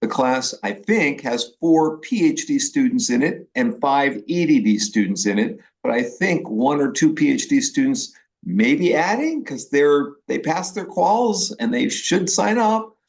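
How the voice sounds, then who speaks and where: A man with an Upper Midwest American accent from Milwaukee, a professor leading an online class orientation in a chatty way, with restarts and pauses. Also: fairly high pitch, lively intonation. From Milwaukee, Wisconsin. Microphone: video call.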